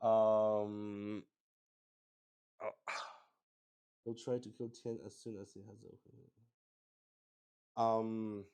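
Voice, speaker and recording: A man with a lowish, mellow voice improvises as game master of a solo tabletop roleplay. He takes his time, pausing often and working things out aloud as he goes. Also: monotone, clean tone. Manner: hesitant, pausing, thinking aloud.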